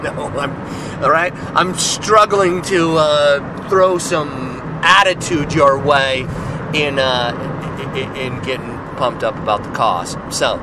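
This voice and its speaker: Hoarse, raspy man rambling on a podcast. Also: a little high, slow pace, noisy background. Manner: joking, loose, self-deprecating. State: hoarse, getting over a cold. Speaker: podcast host. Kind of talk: casual rambling monologue.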